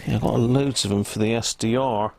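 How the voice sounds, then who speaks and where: A man's voice: medium pitch, steady tone, a little fast, gravelly, very noisy. A British man hosting a casual livestream, thinking aloud in a rambling, self-mocking mutter. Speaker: livestream host showing radio gear.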